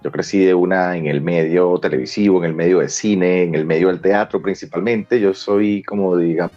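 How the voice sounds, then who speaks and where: A man's voice: sits low, fairly even intonation, normal speed, warm tone, dull audio, faint music behind. A Venezuelan man in his forties, talkative and colloquial, telling stories casually as an interview guest. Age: 40s. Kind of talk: casual conversational storytelling.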